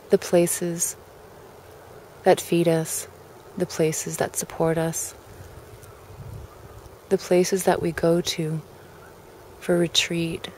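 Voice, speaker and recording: Low-pitched, slow woman guiding a relaxation. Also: slight background noise. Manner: calm, gentle, deliberate phrasing with pauses. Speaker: guided relaxation leader. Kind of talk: guided meditation, soft spoken invitation.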